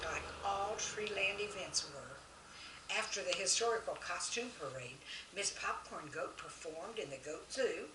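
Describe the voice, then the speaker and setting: Echoey, kinda distant recording of a lady telling kids stories, bright expressive voice. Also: fairly low pitch. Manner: expressive, addresses young listeners directly. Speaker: author reading own children's stories. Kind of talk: children's story narration with chat. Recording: roomy, distant mic, faint noise.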